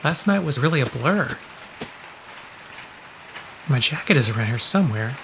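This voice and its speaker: A man's voice: mid-range voice, lively intonation, normal speed, creaky. Casting: kindly, sheepish regular guy, casual and boyish delivery, audio drama, light rain behind. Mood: sheepish, accommodating. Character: easygoing, kindly, slightly sheepish man. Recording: faint rain noise behind the voice.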